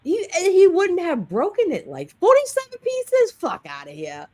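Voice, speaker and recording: A woman with a Boston accent co-hosting a live stream over a video call, talking in a brash, profane, animated way. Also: very high voice, normal speed. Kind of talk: casual chat.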